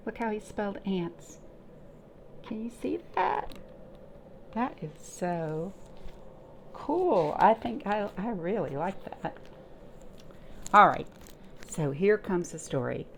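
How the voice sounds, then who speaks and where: A woman talks to small children as a storytime reader, with some noise in the background. Her delivery is unhurried and very melodic, on the lower side in pitch, encouraging and full of simple questions. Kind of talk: read-aloud and chat for young children.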